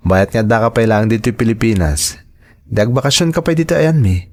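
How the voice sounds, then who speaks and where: A man's voice: fairly low pitch, lively intonation, fairly quick, a little mellow, dry recording. A man with a Filipino accent playing an earnest young man in love in an Ilocano audio drama. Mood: reassuring. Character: devoted young man in love, persuading his mother.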